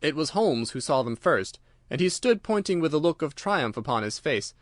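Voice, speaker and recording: A man's voice: a little high, big pitch swings, moderate pace, no room sound, clean studio sound. A man reading scripted narration of a classic detective story.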